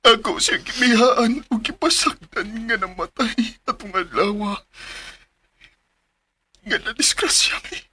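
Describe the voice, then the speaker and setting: A man's voice: high voice, expressive, bright, forceful tone, slightly rough, heavily acted. A man playing a guilt-ridden young penitent. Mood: remorseful. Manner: halting, tearful, broken phrases. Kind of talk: radio drama acting.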